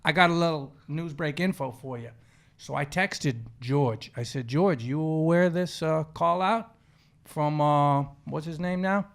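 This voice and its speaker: A man's voice: fairly high pitch, a little slow, gravelly. A man in his forties hosting a sports podcast, chatting casually in an opinionated, slangy, storytelling way. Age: 40s. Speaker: podcast host.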